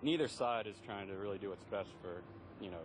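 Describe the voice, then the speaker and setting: Low-voiced man on a noisy street. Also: a little fast. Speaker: member of the public interviewed on the street. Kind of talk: casual street interview. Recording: noisy outdoor street with traffic.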